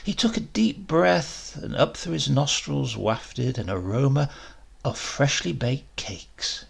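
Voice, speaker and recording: A man narrating a short story in a measured, expressive way, with deliberate pauses for drama. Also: medium pitch, creaky, husky. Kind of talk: dramatic scripted literary narration.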